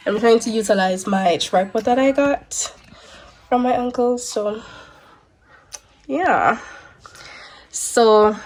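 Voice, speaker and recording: Congested young woman chatting to camera. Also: medium pitch. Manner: chatty, stops and restarts, rambling. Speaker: vlogger. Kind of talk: casual vlog chat to camera.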